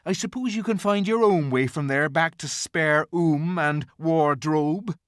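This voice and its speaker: A reader voicing a timid, tearful male faun who speaks in a high, pleading, drawn-out way while crying. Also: no room sound, clean studio sound, lightly acted. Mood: anxious, earnest. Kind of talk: audiobook character voice.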